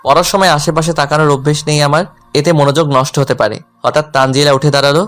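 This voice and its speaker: Actor as lovelorn young male tutor, higher-pitched voice. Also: level tone, fast, fairly bright tone, lightly acted. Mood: brooding. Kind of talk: scripted first-person romantic story narration with dialogue.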